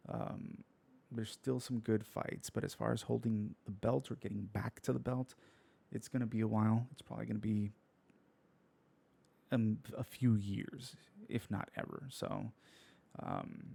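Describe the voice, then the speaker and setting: A man hosting a casual solo fight recap, with a sleepy, croaky voice, rambling through fillers and restarts and swearing freely. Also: on the low side, animated, moderate pace, warm tone. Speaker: podcast host.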